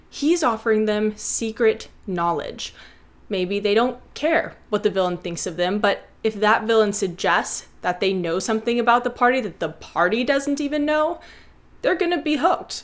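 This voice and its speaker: A woman hosting a video essay, narrating a scripted lesson and playfully addressing viewers like a schoolteacher. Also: a little high.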